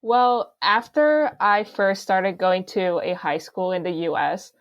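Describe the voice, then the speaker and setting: A woman's voice: mid-range voice, slow pace, muffled recording. A young woman from Korea, a student guest explaining things informally. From South Korea. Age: young adult.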